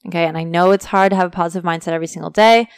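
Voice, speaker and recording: A woman hosting a casual motivational podcast, talking chattily to her listeners while her voice is going hoarse. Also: fairly low pitch, fairly even intonation, racing, no room sound, studio quality. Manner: chatty, motivational, addresses listeners as you guys. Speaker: podcast host. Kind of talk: casual solo podcast talk.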